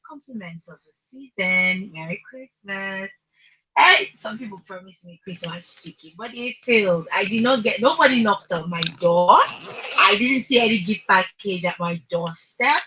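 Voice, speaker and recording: A woman's voice: mid-range voice, lively intonation, a little slow, pushed and bright, a little hiss or hum, poor audio. A woman with a Nigerian accent hosting a radio show, chatting informally and playfully with emphatic phrasing. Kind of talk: chatty live radio presenting.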